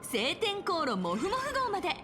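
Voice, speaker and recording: A bubbly young female office guide from a video game. Her high voice swoops playfully as she rattles along at great speed, chatty and teasing. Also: wildly swooping pitch, fast talker, background noise, exaggerated voice. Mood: upbeat. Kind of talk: Japanese video game voice acting. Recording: game music underneath. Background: game music.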